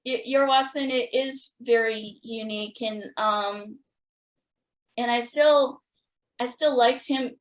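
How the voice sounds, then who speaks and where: Woman podcast host, kinda high voice, takes her time, enthusiastic fan chat, roomy echo. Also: steady tone, slow. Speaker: podcast host interviewing guests. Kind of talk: casual fan interview chat. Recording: roomy, echoing sound.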